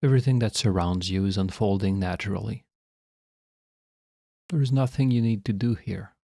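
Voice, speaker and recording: A man leading a guided meditation as a guide, speaking in a calm, measured, instructional way with long pauses. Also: lowish voice, no echo, clean studio sound.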